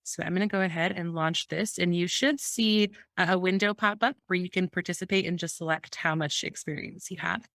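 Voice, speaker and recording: Low-voiced woman hosting a webinar. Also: steady tone, a little fast, hardly any pauses, a bit bright, no room sound, clean studio sound. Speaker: webinar host. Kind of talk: moderating a webinar.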